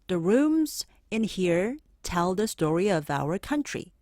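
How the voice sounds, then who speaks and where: A woman's voice: fairly low pitch, sing-song, takes it slowly, darkish tone, dry recording, clean studio sound. A woman voicing a stern, busy male schoolteacher in a storybook, firm and instructive as if addressing a class. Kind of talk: storybook character voice.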